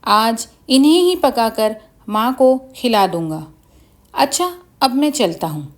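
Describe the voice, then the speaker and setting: A woman's voice: on the high side, expressive, takes it slowly. Woman voicing an earnest, dutiful village boy. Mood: polite. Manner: gentle, reassuring, earnest. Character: poor, dutiful, kind village boy caring for mother.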